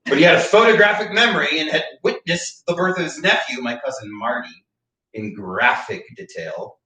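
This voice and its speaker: An actor in a staged play reading, playing a wry, hard-drinking eccentric who tells droll, long-winded stories theatrically. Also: male voice, fairly high pitch, expressive, normal speed, sharp tone. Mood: droll reminiscing. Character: wry, eccentric, hard-drinking male raconteur, thesis mentor. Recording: roomy echo, distant mic.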